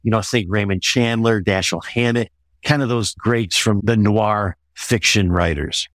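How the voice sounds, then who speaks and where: A man's voice: lowish voice, measured pace, a bit bright, no room sound. A man talking as a guest author in an interview, discussing his own work conversationally.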